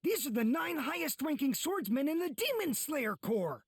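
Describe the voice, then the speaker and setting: A woman's voice: sits high, very animated, moderate pace, no echo, clean studio sound. A woman playing a stern young member of a swordsman corps in an anime game, brusque and scolding. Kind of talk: English anime game voice acting.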